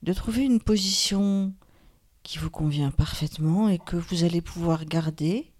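A woman guides a relaxation meditation in a calm, soothing manner, leaving long pauses. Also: fairly low pitch. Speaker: yoga nidra guide, host.

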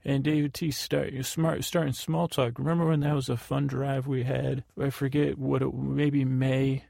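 A man hosting a sleep podcast, rambling through housekeeping in a halting way and often correcting himself. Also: mid-range voice, little pitch movement, creaky, dark tone, no echo, studio quality. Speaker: podcast host.

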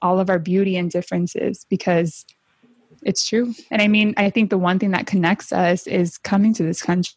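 A woman's voice: fairly low pitch, moderate pace, no room sound. A young woman from San Diego with a Honduran family, talking casually and often saying "like". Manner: chatty, heavy use of "like", animated quoting. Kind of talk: casual chat.

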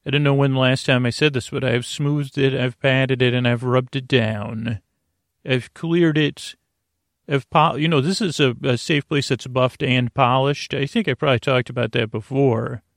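A man with a creaky voice hosting a bedtime podcast in a soothing, meandering monologue, halting and repeating himself as he drifts into tangents. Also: mid-range voice, fairly even intonation, dry recording, clean studio sound. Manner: soothing, lulling. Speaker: host of a bedtime story podcast. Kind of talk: rambling sleep-podcast monologue.

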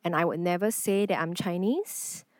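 A woman talks earnestly and thoughtfully as a podcast guest. Her voice sits on the lower side and sounds close and dry, with almost no room around it. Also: normal speed, no echo. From Singapore. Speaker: guest artist. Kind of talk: reflective podcast conversation.

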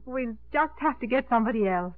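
A woman's voice: fairly high pitch, expressive, a little fast, no room sound, natural voice. An actress playing a proper, flustered, easily embarrassed mother in 1950s radio comedy style. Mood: evasive, flustered. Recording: muffled, tinny 1950s radio broadcast.

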